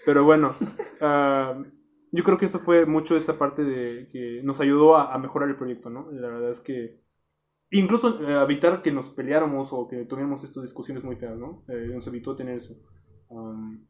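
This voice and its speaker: A man's voice: medium pitch, moderate pace. A young man, an architecture student reflecting casually on teamwork. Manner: relaxed, reflective, lots of fillers. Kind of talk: casual group chat.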